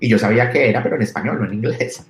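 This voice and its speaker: A Colombian man in his thirties speaks in a low, easygoing voice, telling his story anecdotally as an interview guest. The room is echoey, and faint music plays underneath. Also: very fast. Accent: Colombian Spanish. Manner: relaxed, anecdotal, frequent restarts. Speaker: entrepreneur guest.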